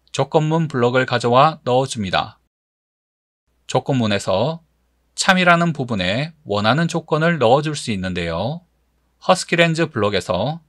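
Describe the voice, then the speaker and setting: A man giving scripted tutorial narration as an instructor, explaining step by step in a measured manner. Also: medium pitch, a bit bright, no echo, studio quality.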